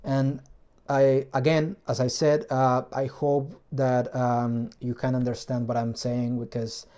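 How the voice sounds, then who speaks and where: A man with a Spanish accent, Spanish as his first language, casually explaining a hobby engine build in a vlog and often pausing to find words in his second language. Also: mid-range voice, little pitch movement, dark tone.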